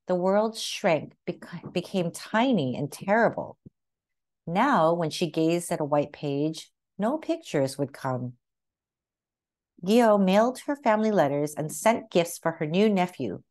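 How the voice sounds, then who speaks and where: Female read-aloud narrator for picture books: lowish pitch, very lively intonation, careful storytelling phrasing.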